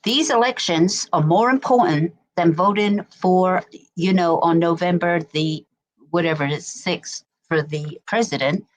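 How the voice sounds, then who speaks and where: A woman's voice: fairly low pitch, unhurried, poor audio. A woman from Wisconsin, a guest in a casual chat. She is chatty and repeats herself.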